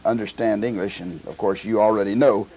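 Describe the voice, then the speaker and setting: An older man with an American accent, giving a halting, reflective, conversational voice-over for a family travel video. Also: fairly low pitch, steady tone, dark tone, breathy edge, rough recording.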